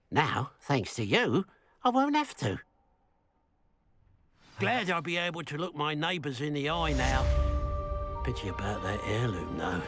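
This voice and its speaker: An actor playing a bitter, suspicious village shopkeeper in a video game, grumbling with dramatic sarcasm. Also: male voice, medium pitch, fairly bright tone, husky, full character voice. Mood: resigned. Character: bitter, suspicious village shopkeeper man, resentful of his sister.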